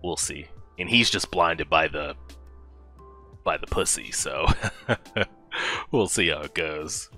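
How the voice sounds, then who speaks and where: A young man talks through his reactions in a casual, musing way. His voice is very low but bright and forward, picked up close on a headset, with faint music behind him. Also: slow, pauses often. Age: young adult. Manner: informal, musing aloud in short remarks. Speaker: reaction video host. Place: small indoor room at home. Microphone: headset boom mic.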